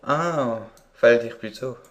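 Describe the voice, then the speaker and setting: Deadpan man making a prank call. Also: mid-range voice, measured pace. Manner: hesitant, deadpan, persistent questioning. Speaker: prank caller posing as a buyer. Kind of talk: unscripted prank phone call.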